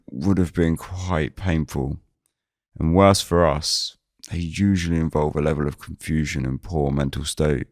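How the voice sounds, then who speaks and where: A man's voice: extremely low pitch, no room sound, clean studio sound. A man playing a fussy, verbose doctor in an audio drama, speaking in a clinical, pedantic, hedging manner. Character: fussy, verbose male small-town doctor.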